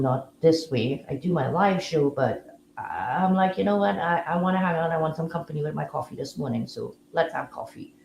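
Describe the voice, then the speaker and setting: This woman hosts a casual live audio room with a somewhat low voice. She talks in a relaxed, musing way and fills her pauses with ums. The sound is roomy and echoey, with faint ambience behind her. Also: creaky, a little hiss or hum. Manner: relaxed, musing, with frequent fillers. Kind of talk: casual live-stream chat.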